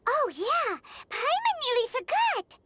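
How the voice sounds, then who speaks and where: Tiny floating girl sidekick, very high squeaky voice, lively swoops, chatty. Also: measured pace, full character voice. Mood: eager. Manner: squeaky, pleading, talks about herself in third person. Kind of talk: video game dialogue. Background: soft game music.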